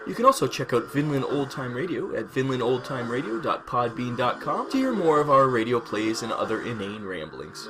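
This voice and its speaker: A man's voice: mid-range voice, sing-song, fairly quick, hardly any pauses. A man reading scripted narration as a podcast host and storyteller.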